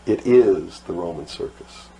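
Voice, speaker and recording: A middle-aged American man giving an impassioned lecture, emphatic and full of rhetorical questions and deliberate repetition. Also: sits low, expressive, dark tone. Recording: noisy old talk recording.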